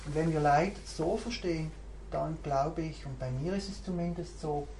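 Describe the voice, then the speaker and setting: Woman teaching mindfulness, thinks out loud, roomy echo, bit of hiss. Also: deep voice, moderate pace. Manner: reflective, searching, thinking aloud. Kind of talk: meditation group talk. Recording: roomy recording, faint background hiss.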